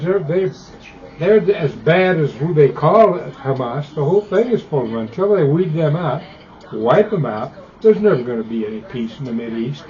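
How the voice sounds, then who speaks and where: A man's voice: fairly high pitch, mellow. An American man in his eighties chatting casually as an amateur radio operator, with a blunt, opinionated, rambling manner. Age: 80s. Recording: dull lo-fi ham radio audio.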